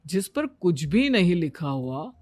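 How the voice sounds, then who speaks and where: Easygoing young husband in a cartoon tale, female performer: casual, bemused, lively pitch swings. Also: measured pace, no echo. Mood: puzzled. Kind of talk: Hindi cartoon story dialogue.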